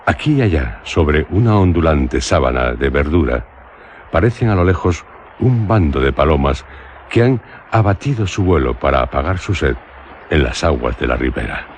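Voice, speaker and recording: An actor playing a melancholic traveller narrating a literary radio drama, solemn and measured, with a Castilian accent. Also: male voice, a bass voice, animated, a little hiss or hum. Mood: solemn, contemplative. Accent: Castilian Spanish. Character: solemn, melancholic male traveller recounting an eerie journey.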